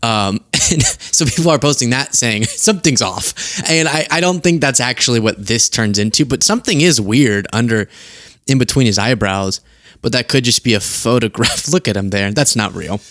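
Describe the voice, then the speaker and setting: A young man hosting a political commentary show from his studio desk, talking casually and animatedly with a giggly, tired manner. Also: no echo. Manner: animated, giggly, quick asides and sudden high outbursts. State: tired from lack of sleep. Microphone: earpiece and studio mic.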